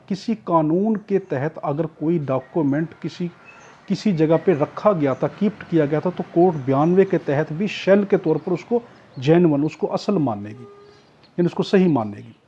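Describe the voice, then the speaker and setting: A muffled, lo-fi recording of a Pakistani man lecturing as a tutor. His voice sits a little high for a man, with a soft, mellow tone. He explains didactically, switching into English legal terms and restating each point. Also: lively intonation, measured pace, slightly rough. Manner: didactic, repetitive, asks and answers own questions. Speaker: law tutor. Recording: dull, muffled lo-fi audio.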